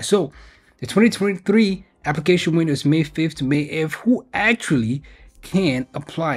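Husky-voiced man explaining job openings. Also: mid-range voice. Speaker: video host sharing job openings. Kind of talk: informal explainer commentary reading from a web page.

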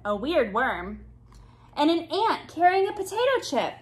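A woman in her thirties reading a picture book aloud to young children with expressive, deliberate phrasing. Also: sits high. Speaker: children's storytime reader. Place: small indoor room with bookshelves.

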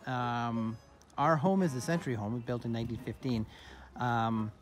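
A man in his thirties hosting a casual vlog tour, talking in a halting, playfully dramatic way into a handheld phone. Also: mid-range voice, steady tone, soft background music. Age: 30s. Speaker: vlog host. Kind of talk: casual first-person video tour. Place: at home indoors, then outdoors and inside an old barn. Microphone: handheld phone at arm's length.

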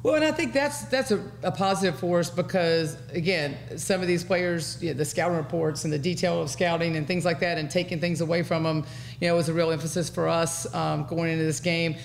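A middle-aged American woman, a basketball coach answering at a press conference in a measured, halting way. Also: on the low side, vocal fry. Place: indoor press conference room. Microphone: table microphone. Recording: faint room noise.

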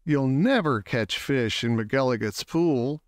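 Male reader as dismissive farmer for a picture book: mocking, lecturing, clear-voiced, measured. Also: medium pitch, expressive, no room sound, studio quality, voice pushed down. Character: scoffing male farmer dismissing a boy's hopes.

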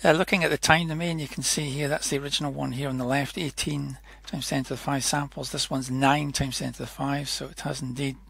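Husky, creaky man giving a technical demo. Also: medium pitch, level tone, fairly bright tone, no echo. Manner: hesitant, halting explanation with self-corrections. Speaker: software demonstrator. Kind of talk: informal technical tutorial narration.

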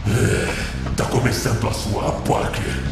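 A man voicing a menacing, taunting alien symbiote villain in a fan-dubbed video game, with a hissing growl and mocking, drawn-out delivery. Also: fairly low pitch, lively intonation. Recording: echoing voice under very loud game music.

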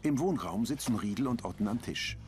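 A man narrating scene descriptions calmly and matter-of-factly over music. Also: mid-range voice, fairly quick. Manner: calm, matter-of-fact.

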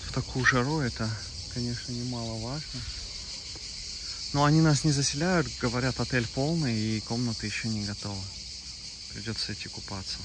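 A man's voice: medium pitch, brisk. A man from Kazakhstan casually narrating a travel vlog, chatty and talking to his viewers as friends while walking streets and driving. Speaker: travel vlogger. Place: outdoors in narrow town streets and in a car. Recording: outdoor noise in background.

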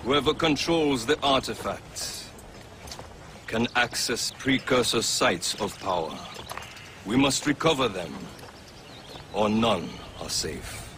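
A man's voice: mid-range voice, lively intonation, slow, pauses often, background noise. An actor playing a grave, formal male assassin mentor in a video game. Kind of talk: video game cutscene acting.

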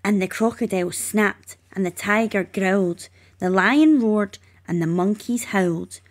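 A woman reading rhyming children's narration aloud, expressively and with measured emphasis. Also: medium pitch. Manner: measured, emphatic on sound words. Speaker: storybook reader.

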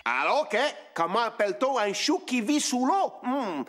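High-pitched, theatrical jovial cartoon king. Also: very animated, moderate pace, fairly bright tone, heavily acted. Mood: jovial, doting. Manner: theatrical, exclaiming, booming showman delivery. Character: jovial older king, doting father who loves riddles. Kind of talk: French children's cartoon voice acting. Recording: music behind the voice.

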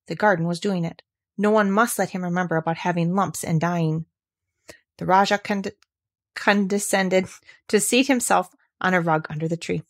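A woman's voice: fairly low pitch, steady tone, moderate pace. A woman reading a classic children's story aloud as narrator. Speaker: audiobook reader.

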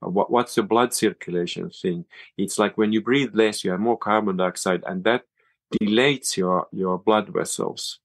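A man with a Finland-Swedish accent and Swedish as his first language, a yoga and cold-water teacher talking as an interview guest, halting and restarting often. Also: fairly low pitch, dry recording. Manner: halting, many restarts and fillers. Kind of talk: casual interview talk.

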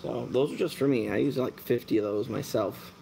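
Brief: relaxed thirties guy, gravelly low-key voice, rambling livestream chat with a faint hum of room noise. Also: brisk. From born in Germany, raised American. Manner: rambling, relaxed, talks while working, many fillers. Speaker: mantis breeder and shop owner. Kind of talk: casual live stream Q&A. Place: dim indoor shop at night.

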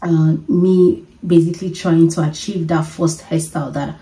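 Woman, 30s, chatty vlogger; low-ish mellow voice, a little off-mic with faint room noise. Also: steady tone, normal speed, recorded from a distance, poor audio. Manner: playful, chatty, laughs often. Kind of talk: casual get-ready-with-me vlog. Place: small bedroom at home.

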